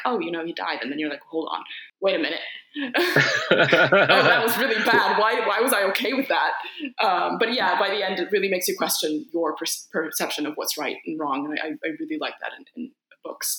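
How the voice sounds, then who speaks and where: A bright, forceful-voiced woman from Finland talks loosely as an interview guest, circling back and restarting her thoughts as she goes. Her voice rings out in a noticeably roomy, echoey space. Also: sits low. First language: Finnish. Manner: rambling, many restarts and fillers. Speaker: actor guest. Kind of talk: casual interview chat.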